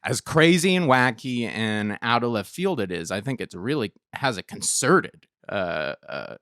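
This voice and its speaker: A man's voice: mid-range voice, sing-song, a little slow, fairly bright tone, no echo, studio quality. A man co-hosting a podcast in casual discussion, reflective and emphatic, repeating phrases to stress his points. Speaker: podcast co-host.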